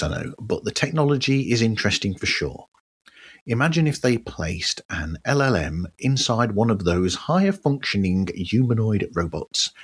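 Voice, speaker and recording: A man presents a podcast news roundup in a deep, slightly rough voice. His intonation moves around a lot as he reads his script. He drops in chatty, wry asides along the way. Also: fairly bright tone. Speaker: podcast host.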